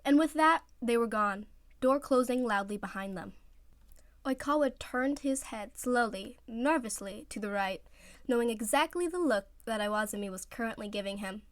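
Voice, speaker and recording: A woman narrating fan fiction as an amateur reader, expressive and scripted. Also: a little high, normal speed, no room sound.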